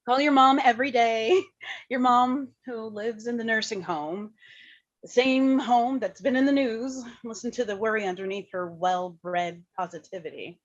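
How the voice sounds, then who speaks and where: A woman's voice: a little high, a little slow, muffled recording. A woman acting a dutiful, worried mother in a staged reading of a play. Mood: wry, dutiful.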